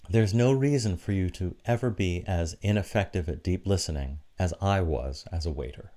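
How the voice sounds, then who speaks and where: A man preaching a reflective sermon in a deliberate, encouraging manner, with short emphatic phrases. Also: deep voice, darkish tone. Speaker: preacher giving an online church sermon.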